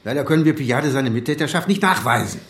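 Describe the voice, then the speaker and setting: A man's voice: mid-range voice, expressive, fast, fairly bright tone. Male police chief, brusque, impatient, radio crime drama, roomy echo. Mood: scolding, impatient. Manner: brusque, blunt.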